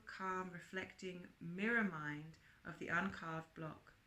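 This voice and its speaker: A woman reading a book aloud in an expressive, emphatic way, presenting it with chatty asides. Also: lowish voice. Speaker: reader and presenter of book extracts. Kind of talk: read-aloud with chatty asides and character quotes. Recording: roomy, echoing sound.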